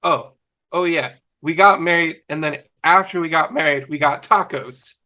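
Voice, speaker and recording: A man on a video call table read, playing a dim, sweet, excitable young slacker. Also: on the high side, normal speed. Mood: excited, goofy. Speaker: amateur table-read actor. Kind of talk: fan table read of TV comedy script.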